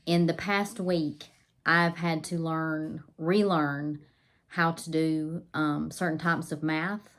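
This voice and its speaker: A woman's voice: low voice, little pitch movement, slow. Slightly nasal, scratchy-voiced woman vlogger. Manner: chatty, repeats and restarts words, many ums. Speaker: homeschooling vlogger. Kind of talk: casual to-camera talk.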